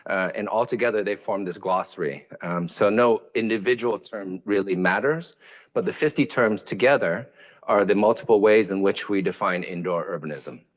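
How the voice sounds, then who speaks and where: A man's voice: on the low side, normal speed. A man whose first language is Vietnamese, an architect giving a lecture in a hesitant way, with many fillers and restarts. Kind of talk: academic lecture.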